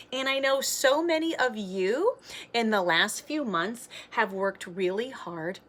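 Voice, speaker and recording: A woman reading a children's storybook aloud like a teacher, in an expressive, sing-song way for young listeners. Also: medium pitch.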